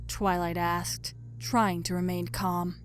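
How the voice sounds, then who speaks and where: A woman's voice: on the low side, flat delivery, slow. A woman reading scripted story narration as the narrator. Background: music.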